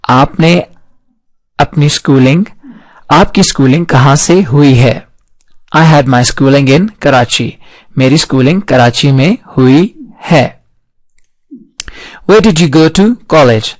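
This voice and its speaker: Slow, deliberate male teacher. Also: medium pitch, no echo. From Pakistan. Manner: slow, measured, enunciates English example sentences very slowly. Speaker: English teacher. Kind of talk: scripted online language lesson.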